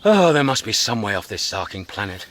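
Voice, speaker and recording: Excitable, brash space hitchhiker. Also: male voice, fairly low pitch, fairly quick. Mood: agitated. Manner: animated, emphatic, sardonic. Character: excitable, brash male galactic hitchhiker, urgent and blunt. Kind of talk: radio comedy drama acting.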